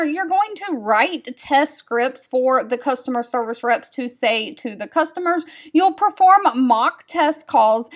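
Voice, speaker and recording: A woman in her thirties speaks with a high, light voice. She hosts a casual vlog, talking straight to her viewers. Her delivery is friendly and direct, and she repeats her advice. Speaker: host sharing job leads. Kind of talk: casual scripted-feeling vlog talk to viewers.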